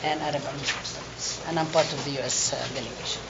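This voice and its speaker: Low-pitched woman from Palestine, hesitant introduction; muffled, loud hiss. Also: fairly even intonation, quick pace. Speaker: professor. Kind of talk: self-introduction. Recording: dull, very noisy, from far.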